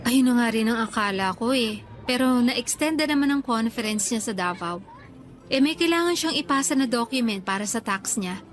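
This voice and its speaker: A woman's voice: fairly high pitch, lively intonation, normal speed, close to an everyday voice. An actress playing a troubled young working girlfriend who confides in her friend, in earnest conversational Filipino radio drama style with a Filipino accent. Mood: casual, resigned.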